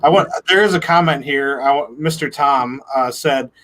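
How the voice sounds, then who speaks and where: Man, podcast guest, mid-pitched, opinionated, wry, casual. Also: normal speed, a bit bright, slight background noise, poor audio. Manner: opinionated, wry, laughs along. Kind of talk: casual football fan chat.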